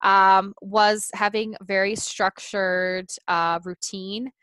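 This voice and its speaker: A woman's voice: medium pitch, little pitch movement, slow, a bit bright, smooth, no room sound. Woman, 30s, native Portuguese speaker, earnest interview guest, thinks aloud with restarts, dry close recording. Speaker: life coach guest. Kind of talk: casual interview chat.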